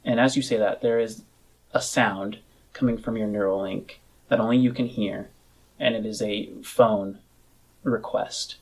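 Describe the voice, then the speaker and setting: Husky, unhurried male game master. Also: on the low side, steady tone, rough recording. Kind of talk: improvised descriptive narration of a tabletop game.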